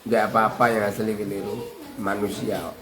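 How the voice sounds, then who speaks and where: A man's voice: fairly low pitch, little pitch movement, normal speed. A man with a Javanese accent teaching casually on a livestream, joking and reeling off long lists. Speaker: Islamic boarding school teacher. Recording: roomy with faint ambience.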